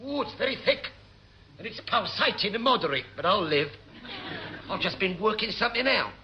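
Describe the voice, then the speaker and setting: A scheming, grumbling chief petty officer in vintage British radio comedy. The voice sits high and swings wildly as it wheedles and blusters. The recording is dull, with a light hiss. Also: male voice, animated, a little fast. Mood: grumbling, suspicious. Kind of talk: 1950s-60s British radio sitcom acting.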